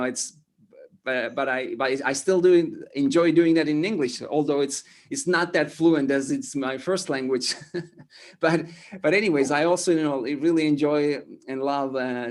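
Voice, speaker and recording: A man with an Estonian accent, speaking English as a second language, talking as an interview guest, halting with many restarts. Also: a little high, darkish tone. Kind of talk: casual interview talk.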